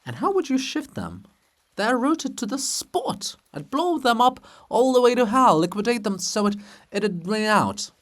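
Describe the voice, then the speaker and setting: Guy doing a cranky bearded historian statue, ranty and scornful, pitch all over the place, farce play. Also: sing-song, unhurried, fairly bright tone, exaggerated voice. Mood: fiery. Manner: ranting, emphatic, scornful. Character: bronze monument of a bombastic, irascible bearded male historian.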